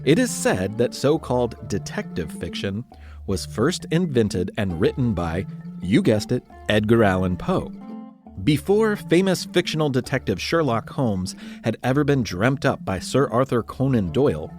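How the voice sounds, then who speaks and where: Male podcast narrator, medium pitch, lively intonation, playful history storytelling, dramatic emphasis, conversational delivery. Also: a little slow, music in the background.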